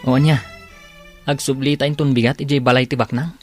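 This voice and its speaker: An actor playing an eager, jittery petty thief's sidekick in an Ilocano radio drama, speaking with an Ilocano accent. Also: male voice, mid-range voice, little pitch movement, brisk, faint music behind, lightly acted.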